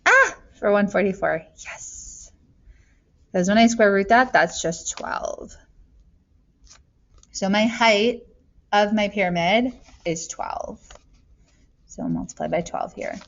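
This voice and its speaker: A woman's voice: mid-range voice, animated, slow, long pauses, muffled recording. A woman teaching math, talking through worked examples in a casual, chatty way and thinking aloud with joking asides.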